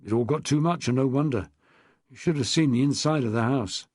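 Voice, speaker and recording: A man's voice: mid-range voice, a little fast, a little mellow, breathy edge, no echo, clean studio sound, lightly acted. A man voicing a guarded middle-aged policeman who answers in terse, clipped lines. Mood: grim.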